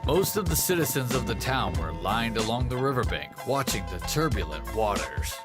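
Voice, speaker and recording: Male narrator for vintage-style radio western, mid-range, animated read over clear background music. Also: mid-range voice, moderate pace. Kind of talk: old-time radio drama narration.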